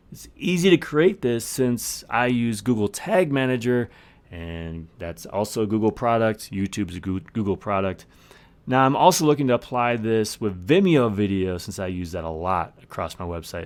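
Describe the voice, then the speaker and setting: A man hosting a podcast, explaining tips in a scripted monologue with emphatic, short punchy phrases. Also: fairly low pitch. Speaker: podcast host.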